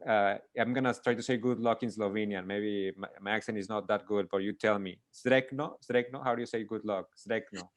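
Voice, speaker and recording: A man's voice: on the low side, steady tone, a little fast, few pauses, dry recording. A man hosting a casual interview, rambling through long questions and restarting them with many fillers. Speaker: interview host.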